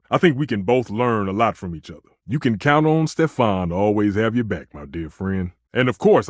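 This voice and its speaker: A man's voice: on the high side, fairly bright tone, no echo, studio quality, full character voice. A man voicing a passionate, romantic stove who is a chef in a video game, with a theatrical, grandiose, exclamatory delivery. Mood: warm, affectionate. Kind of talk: video game character acting.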